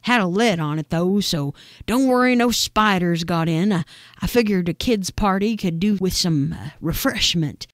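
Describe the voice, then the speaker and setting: A woman's voice: on the low side, moderate pace, no room sound, close to an everyday voice. An eccentric old neighbor woman from a comic tale, acted out by a woman storyteller. She rambles along in a folksy, matter-of-fact way, quite unbothered, with a dry, close sound. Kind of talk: acted quote in a humorous story.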